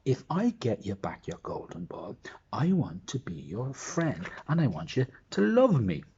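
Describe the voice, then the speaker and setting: A man performs a little storybook frog, eager and pleading, its voice lifted well above a speaking voice and pushed loud and bright. Croaky ribbits break up its polite begging, and the gravelly edge stays in. The recording is dull and lo-fi, with a faint hiss behind it. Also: mid-range voice, wildly swooping pitch, measured pace, voice pushed up, heavily acted. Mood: wheedling. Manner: croaky ribbit sounds, wheedling.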